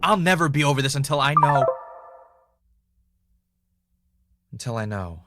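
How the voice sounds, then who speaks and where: A man acting as an anxious, self-doubting podcast host in an audio drama, talking in a halting, confessional way. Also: medium pitch, expressive, loud music behind the voice, natural voice. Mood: hurt, bitter. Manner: hesitant, self-questioning, halting.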